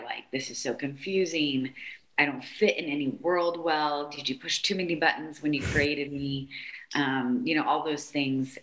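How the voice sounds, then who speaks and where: Very low-voiced woman in her forties. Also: fairly bright tone, low-quality recording. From born in Argentina, raised in California. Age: 40s. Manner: chatty, open, frequent ums. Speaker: author and guest. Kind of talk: casual interview conversation.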